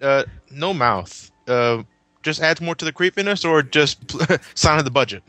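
A man's voice: a little high, lively intonation, normal speed, bright, forceful tone, no room sound. A man joking casually as a video game commentator, with game music behind him.

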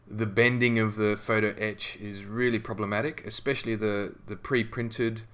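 A man narrating a scale modelling tutorial as a voice-over, relaxed and conversational with frequent ums and restarts. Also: lowish voice, moderate pace.

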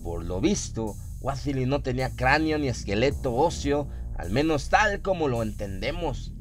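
A man's voice: medium pitch, big pitch swings, moderate pace. A man narrating a scripted horror radio drama as its storyteller. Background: atmospheric music and sound effects.

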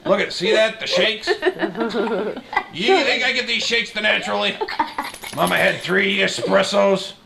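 A brash baby girl delivers nightclub-style stand-up patter as acted out by a man. The voice sits high and crackles with fry, full of wisecracks and repeated catchphrases. It is caught on an amateur home video with clear background noise and clicks. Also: animated, a little slow, long pauses, full character voice. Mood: grumbling, teasing. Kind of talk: improvised comic monologue in a home video.

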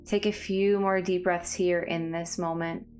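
Low-pitched woman guiding a meditation over music. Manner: calm, soothing, measured delivery with pauses. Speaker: meditation guide. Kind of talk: guided body scan meditation. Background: background music.

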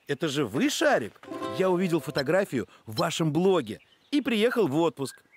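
Актёр озвучивает дружелюбного и любопытного гостя-отпускника в мультфильме, говорит вежливо, часто с вопросом. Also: male voice, on the high side, big pitch swings, normal speed. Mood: friendly, curious. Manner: polite, eager, questioning. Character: friendly, curious male celebrity visitor on holiday. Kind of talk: animated cartoon voice acting. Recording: music behind the voice.

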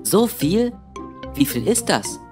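A woman's voice: mid-range voice, sing-song, slow, a little mellow, soft background music. A woman voicing an earnest, unsure little boy in a children's educational cartoon, speaking in simple, hesitant phrases. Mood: puzzled.

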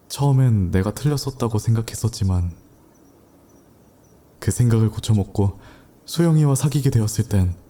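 A reflective young man, voiced by a man, muses quietly to himself in a lowish, mellow voice. His inner monologue drifts back over a past romance in this audio drama.

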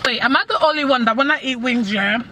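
A woman's voice: high-pitched, fast talker, pushed and bright, slight background noise. Playful young woman vlogging in a car. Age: young adult. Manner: playful, sings along to music, laughs often. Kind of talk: casual car vlog chat. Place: inside a parked car. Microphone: dashboard camera. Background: music playing in the car.

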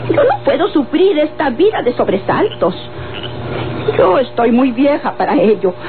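A woman's voice: high voice, very animated, normal speed. An actress playing a weary, anxious old Mexican grandmother in a radio soap, with a plaintive, dramatic delivery. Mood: anxious, resolute. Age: older. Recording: old tinny, noisy radio recording.

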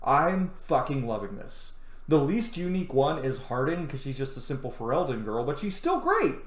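A man's voice: medium pitch, big pitch swings, moderate pace. A man, giving casual, enthusiastic, sweary commentary while streaming a game. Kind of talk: casual let's play commentary. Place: small room at home, indoors. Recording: roomy home mic over game music.

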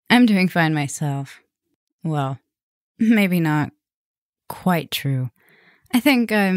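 A woman's voice: on the low side, a little mellow, no room sound, clean studio sound. A woman playing a flirty, teasing vampire professor in an affectionate, chatty ASMR roleplay spoken to the listener. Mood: bubbly, affectionate.

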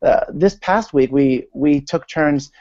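A man's voice: fairly high pitch, slow, darkish tone, dry recording. American man on a dull video call. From United States, Maryland. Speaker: guest speaker, literacy advocate. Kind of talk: informal online presentation. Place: small room at home, indoors. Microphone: video call with earphones.

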